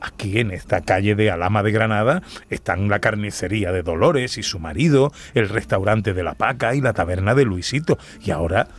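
A man's voice: lowish voice, lightly acted. A man playing an emotional middle-aged family man in a Christmas radio play. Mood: bewildered. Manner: halting, emotional.

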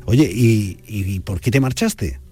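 A man's voice: lowish voice, expressive, unhurried, warm tone. A man from Andalusia hosting a radio program, presenting and interviewing a guest in a chatty, questioning way. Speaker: radio host. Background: music bed.

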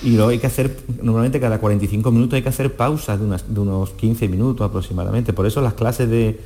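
A man with a dark, mellow voice speaks as a medical guest on the radio. He explains in a didactic way, repeating key phrases to drive them home. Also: fairly low pitch, fairly even intonation, fast talker. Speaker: doctor, voice specialist guest.

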